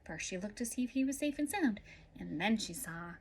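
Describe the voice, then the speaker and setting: A woman reading a picture book aloud to children in an animated way, adding little asides as a storyteller. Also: medium pitch, fairly quick, slightly rough. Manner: animated, with asides to young listeners. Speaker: story-time reader.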